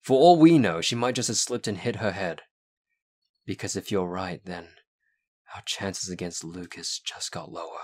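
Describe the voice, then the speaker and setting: A man's voice: deep voice, normal speed, a little mellow. A man playing a grieving, defiant young werewolf heir in an earnest, intense roleplay drama. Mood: grave, wary.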